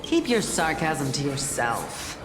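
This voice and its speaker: A woman's voice: low voice, big pitch swings, takes it slowly, heavily acted. A woman voicing a proud, haughty sage in a video game, speaking formally and theatrically. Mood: indignant, haughty. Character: proud, haughty female sage, scornful of exercise. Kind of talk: scripted game voice acting, theatrical. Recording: echoing voice over music. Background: music.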